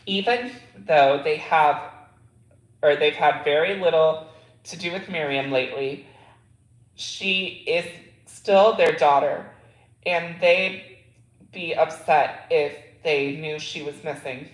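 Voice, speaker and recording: A man's voice: on the high side, slow pace, roomy sound, rough recording. Man reading an earnest caring mother. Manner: plainly read dialogue with small slips. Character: caring mother, middle-aged woman, earnest.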